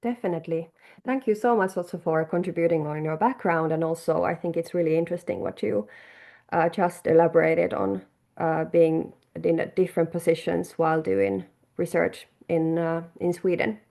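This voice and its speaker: A woman hosting a webinar, calmly putting prepared questions to a guest. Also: on the low side, normal speed, vocal fry. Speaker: webinar host. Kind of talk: interview questions. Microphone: video call.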